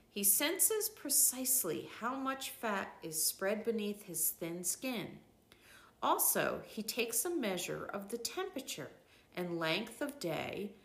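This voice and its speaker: Slow-paced older woman reading aloud. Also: fairly high pitch, fairly bright tone, echoey room. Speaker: reader of a nature devotional book. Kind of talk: scripted narration, literary read-aloud.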